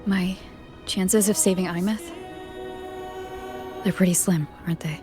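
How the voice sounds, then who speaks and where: Actress as a low, sorrowful young woman. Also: steady tone, a little slow, natural voice. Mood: quietly sad. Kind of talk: dramatic game cutscene dialogue. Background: background music.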